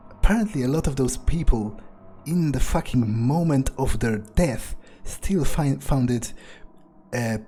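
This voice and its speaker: A man narrating a video game playthrough in character, thinking aloud in a musing, halting way. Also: mid-range voice, animated, dark tone, slight background noise.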